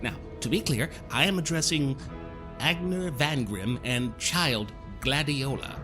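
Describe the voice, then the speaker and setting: A man playing a suave gentleman stranger in an audio drama, florid, over-polite and slyly mocking. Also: mid-range voice, measured pace, music in the background, close to an everyday voice. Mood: courtly, needling.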